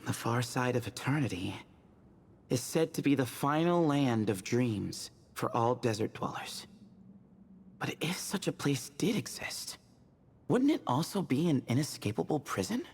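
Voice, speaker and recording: A man's voice: mid-range voice, very animated, a little slow, breathy edge, full character voice. A man voicing a playful, knowledgeable young scholar in a video game, explaining things in an upbeat way. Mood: thoughtful.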